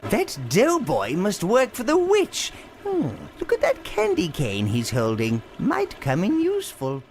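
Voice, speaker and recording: A servile old goblin advisor in a fantasy game. The delivery is theatrical and musing, with extreme rises and drops in pitch. Loud music sits behind it. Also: male voice, wildly swooping pitch, a little slow, full character voice. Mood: plotting. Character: fawning old goblin minion advisor to dark master. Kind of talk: fantasy video game voice acting.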